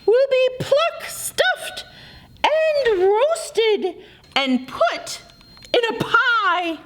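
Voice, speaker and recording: A woman's voice: very high-pitched, big pitch swings, drawn-out words, a bit bright, voice pushed up, exaggerated voice. A woman voicing a frightened duck in a read-aloud storybook, crying out in panic. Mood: panicked.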